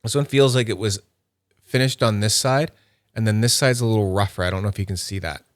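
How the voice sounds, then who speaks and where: Male reviewer, slightly low register, dry close sound. Casual and upbeat, thinks aloud while unboxing. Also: brisk, no echo. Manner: chatty, thinks aloud while handling items; nerdy and upbeat. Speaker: YouTube fidget toy reviewer.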